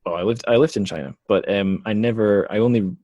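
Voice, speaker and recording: Male interviewer, slightly low voice, casual and searching delivery with self-corrections, dry recording. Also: fast, mellow, no room sound, dull audio. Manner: hesitant, self-interrupting, curious. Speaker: podcast host.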